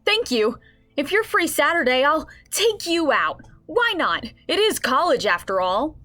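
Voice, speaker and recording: A woman's voice: high-pitched, very animated, measured pace, sharp tone, faint music behind, voice pushed up, exaggerated voice. A woman voicing a flustered, shy young male college student, stammering bashfully in a radio drama.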